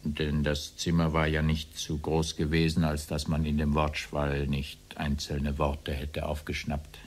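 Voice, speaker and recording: Give this radio play a very low male voice: an evasive former officer on trial. He answers the judge deferentially, in formal and circuitous phrases. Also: completely flat delivery, unhurried, slight background noise. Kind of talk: radio play courtroom dialogue.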